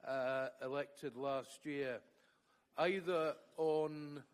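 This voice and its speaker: Slow-speaking Scottish man. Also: mid-range voice. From Scotland. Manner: measured, with fillers. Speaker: trade union secretary, conference speaker. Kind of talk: conference speech.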